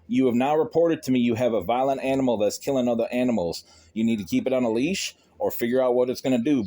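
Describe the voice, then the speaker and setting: A man's voice: mid-range voice, warm tone. A man arguing on a phone call as a pet owner confronting a neighbour, in a stern, insistent, lecturing manner. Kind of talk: argumentative phone conversation.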